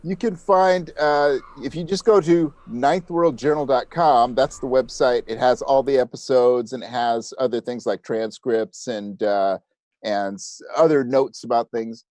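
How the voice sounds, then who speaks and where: A man's voice: mid-range voice, unhurried, darkish tone, dry recording. Man in dry, close-sounding casual chat. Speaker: podcast creator and audiobook narrator, interview guest.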